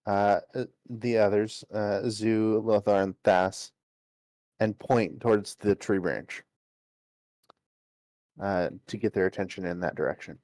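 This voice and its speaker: A man's voice: fairly low pitch, darkish tone, no echo. A man playing a tabletop role-playing game, talking casually and hesitantly as he searches for words.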